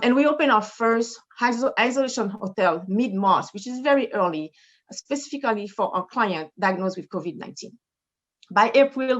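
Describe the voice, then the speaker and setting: Woman, French Haitian accent, medical director presenting, measured and formal, mid pitch, slightly dull video call sound. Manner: measured, formal, reading data with occasional fillers. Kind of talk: conference presentation. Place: in front of a brick wall indoors.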